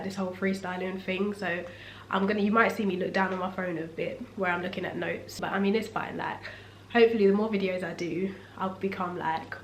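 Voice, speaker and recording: Young woman, husky from a cough, reflective faith-centred vlog chat, medium pitch, roomy echo with faint background hum. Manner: relaxed, reflective and faith-focused. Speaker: vlogger. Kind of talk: casual sit-down talk. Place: room at home. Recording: echoey room, faint ambience.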